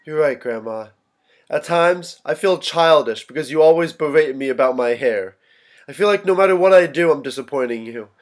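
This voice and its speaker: A man's voice: fairly high pitch, expressive, normal speed, lightly acted. An actor playing an anxious, unravelling young man in a staged play reading, hesitant and stammering. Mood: frustrated.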